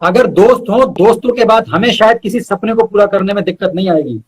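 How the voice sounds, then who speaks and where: A man's voice: high-pitched, fairly quick, breathy edge. A man reciting poetry as a guest poet, repeating lines for emphasis, with short asides to listeners.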